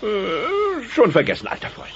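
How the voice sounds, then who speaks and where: Wildly swooping, brash dinosaur buddy. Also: male voice, wildly swooping pitch, moderate pace, exaggerated voice. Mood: nosy. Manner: cheeky, exclaiming, swoops in pitch. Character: brash, leering dinosaur buddy, loud and meddling. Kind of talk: German children's audio drama acting. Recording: dull, noisy cassette transfer.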